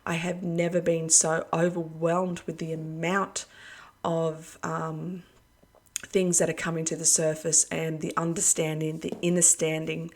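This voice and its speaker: A woman speaks to her online followers as a preacher, hoarse as though losing her voice. Her tone is low and gravelly with little rise and fall. She rambles earnestly, leaning on fillers as she goes. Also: level tone, measured pace, a little mellow. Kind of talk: casual video address to followers.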